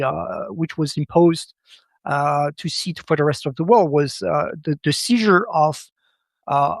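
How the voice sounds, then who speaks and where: A fairly high-voiced man who speaks as a gives his analysis as a podcast guest. He talks haltingly, doubling back on words as he looks for the right phrase. The sound is dry and close. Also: vocal fry, dry recording. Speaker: podcast guest, macro commentator. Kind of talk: conversational analysis.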